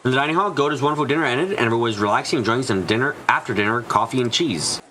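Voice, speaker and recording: A man's voice: mid-range voice, very fast, sharp tone, a little hiss or hum. A man narrating a scripted story in a dramatic style for a visual novel fan dub. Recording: music behind the voice. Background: clear background music.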